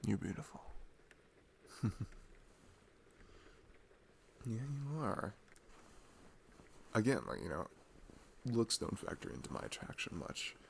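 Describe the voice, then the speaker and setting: A man acts out a sweet college-age boyfriend in an intimate ASMR roleplay. His voice sits very low with a gravelly creak. He rambles in a casual, halting way, introverted but openly affectionate. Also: sing-song, slight background noise, full character voice. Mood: tender, musing. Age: young adult. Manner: rambling, halting, chuckling asides.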